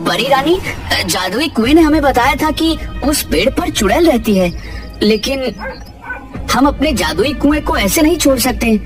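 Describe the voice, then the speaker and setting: A woman's voice: high-pitched, lively intonation, moderate pace. Woman as a brave young villager, earnest, dramatic delivery, cartoon moral tale, music behind. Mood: determined. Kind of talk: children's cartoon story dub. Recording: music under the voice.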